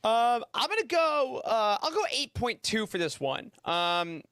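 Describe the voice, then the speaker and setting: High voiced guy rambling casually on a podcast panel, very dry sound. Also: very animated, unhurried, a bit bright, smooth, no echo. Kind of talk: casual chat, giving a review.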